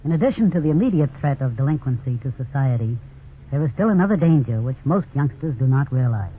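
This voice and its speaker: Formal law dean with a tinny radio sound. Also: male voice, mid-range voice, lively intonation, quick pace. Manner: formal, advisory. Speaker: law school dean giving commentary. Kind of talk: scripted radio commentary. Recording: tinny old radio broadcast, faint hiss.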